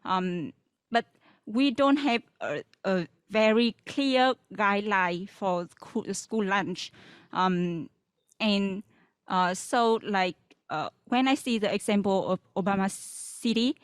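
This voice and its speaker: A woman's voice: mid-range voice, takes it slowly, clean tone, no room sound, clean studio sound. A young woman from Vietnam with a Vietnamese accent, a graduate student commenting hesitantly on a panel with many fillers.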